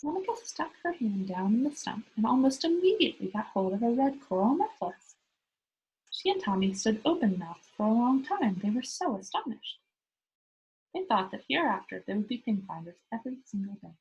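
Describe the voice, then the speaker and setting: Animated, slightly husky woman storyteller. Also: on the high side, moderate pace, lo-fi sound. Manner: engaging listeners with simple questions. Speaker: read-aloud storyteller. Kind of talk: reading a children's book aloud, with asides to young listeners.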